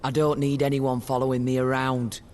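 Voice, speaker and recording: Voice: medium pitch, level tone, normal speed, darkish tone, a little hiss or hum, lightly acted. An actor playing a hard-living, self-reliant bounty hunter in a video game, speaking in a curt, tough manner. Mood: curt, cool. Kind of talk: video game character acting. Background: music.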